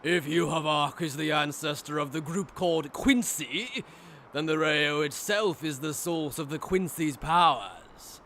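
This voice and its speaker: A man's voice: on the high side, unhurried, sharp tone, slight background noise. A man voicing a smug, mocking aristocratic villain in an anime fan dub, with formal, taunting, theatrical delivery.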